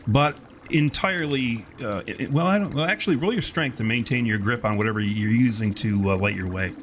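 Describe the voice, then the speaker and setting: A man narrating a tabletop horror game as game master, in descriptive, deliberate, self-correcting storytelling. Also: medium pitch. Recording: steady background noise.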